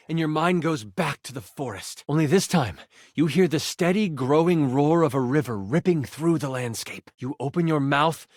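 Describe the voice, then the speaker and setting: A theatrical game master with a fairly high male voice. He narrates fantasy adventure with suspense and weighted pauses, recorded close and dry. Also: husky, no echo, full character voice. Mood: vivid, suspenseful. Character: living twenty-sided die, dramatic male game master. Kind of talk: dramatic tabletop fantasy narration.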